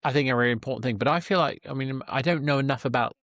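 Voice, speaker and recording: A man's voice: mid-range voice, very fast, fairly bright tone, no room sound, studio quality. A man with a British accent, hosting a podcast interview in a conversational, explanatory way. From Britain. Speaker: podcast host.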